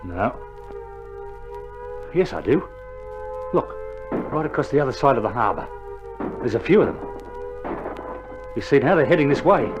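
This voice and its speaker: A man playing an ailing Australian digger in a vintage war radio drama, speaking at a middling pitch over strong background music. He is blunt and colloquial, an uneasy but loyal mate. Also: big pitch swings, moderate pace, long pauses, highs cut off, natural voice. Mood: alarmed. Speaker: actor. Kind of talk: dramatised wartime radio play. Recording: old vinyl radio drama, prominent music behind.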